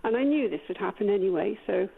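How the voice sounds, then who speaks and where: A woman's voice: medium pitch, animated, fast talker, gravelly, slightly rough. A woman calling in to a talk radio show, talking in a rambling, hesitant way with many restarts and repeats. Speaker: caller. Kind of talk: phone-in call. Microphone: phone. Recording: tinny phone line.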